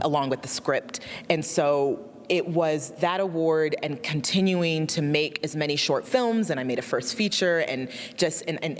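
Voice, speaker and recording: A woman's voice: low-pitched, long reverb tail. A woman in her thirties, a film director born in Nigeria and raised in Alaska, answering interview questions earnestly and reflectively. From born in Nigeria, raised in Alaska, USA. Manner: earnest, reflective, often laughing softly.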